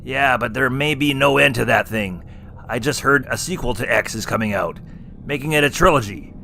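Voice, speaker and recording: A man's voice: mid-range voice, normal speed, creaky, sharp tone, a little hiss or hum. An actor playing a wisecracking male clown who co-hosts a scripted horror review. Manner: punny, teasing. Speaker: co-host. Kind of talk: scripted comedic review banter.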